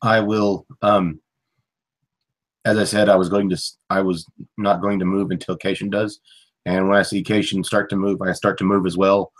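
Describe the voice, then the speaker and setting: A man's voice: low voice, little pitch movement, moderate pace. A man playing a tabletop game, narrating his character's actions in the first person. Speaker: player.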